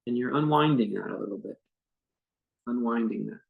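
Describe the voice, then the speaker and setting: A man leading a guided meditation as a teacher, speaking in an unscripted, conversational way with gentle, halting phrases and long pauses. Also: mid-range voice, creaky, phone-quality sound.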